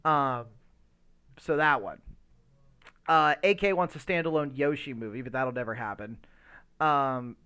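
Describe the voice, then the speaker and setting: A man's voice: mid-range voice, measured pace, long pauses, sharp tone, clear, resonant tone. A man co-hosting a podcast in a casual discussion, talking in a rambling, hesitant way with lots of filler words. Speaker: podcast co-host.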